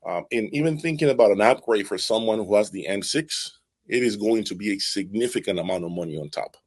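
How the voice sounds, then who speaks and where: A man's voice: fairly low pitch, lively intonation, brisk, a little mellow, breathy edge. A man hosting an audiophile channel, giving casual, unscripted product commentary in an emphatic way, repeating his points and checking in as he goes. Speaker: host of an audiophile video channel.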